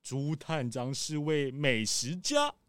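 An actor playing a pompous, boastful rich crocodile gentleman in a children's audio drama, with theatrical, drawn-out exclamations. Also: medium pitch, lively intonation, clear, resonant tone, no echo, clean studio sound. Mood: effusive, showy. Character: pompous, showy rich crocodile gentleman throwing his birthday banquet.

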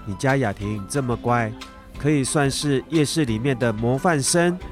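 A man's voice: mid-range voice, normal speed, soft background music, close to an everyday voice. An amateur actor, a man, playing a friendly middle-aged night-market vendor in a children's radio drama. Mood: chatty, complimentary.

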